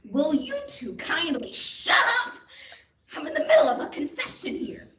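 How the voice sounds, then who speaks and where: A woman's voice: sits high, wildly swooping pitch, normal speed, background noise. A young woman acting a crazed, boastful murderer in a comic radio play, with a melodramatic, theatrical delivery. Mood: manic, boastful. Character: crazed, boastful confessed killer, melodramatic and unhinged. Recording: dull, roomy, distant microphone.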